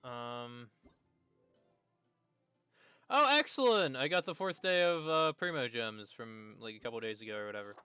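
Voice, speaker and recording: Male game streamer, crisp and bright, close dry mic, casual halting self-talk. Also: medium pitch, very animated, no echo, faint music behind. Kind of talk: casual livestream commentary while playing a video game.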